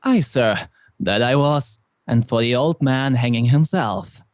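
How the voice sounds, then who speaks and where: A man plays an unhinged, power-hungry village bell-ringer in a vintage radio melodrama. His voice is mid-pitched and very dry, with a folksy, rustic, gloating delivery. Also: measured pace, no room sound, natural voice. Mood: gloating, proud. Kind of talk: old-time radio drama acting.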